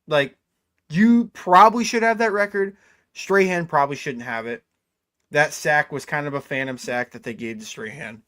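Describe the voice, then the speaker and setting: A man's voice: on the high side, moderate pace, fairly bright tone, dry recording. Laid-back young man, dry sound. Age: 20s. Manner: laid-back, says "dude" a lot. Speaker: co-host. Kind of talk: casual sports chat.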